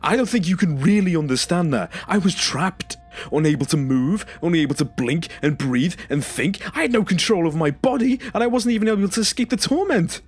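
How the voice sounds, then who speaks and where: A man's voice: high voice, expressive, brisk, husky, faint music behind. A man playing a traumatised, confrontational former stasis patient in an audio drama, speaking emphatically and demanding accountability. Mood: agitated, distressed.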